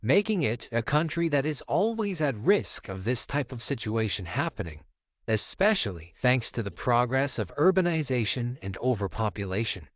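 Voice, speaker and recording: A male narrator delivers scripted documentary voice-over in a measured, dramatic way. His pitch moves around a lot, giving the phrases an animated lift. The sound is dry and close, with no room echo. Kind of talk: scripted documentary-style narration for a video countdown.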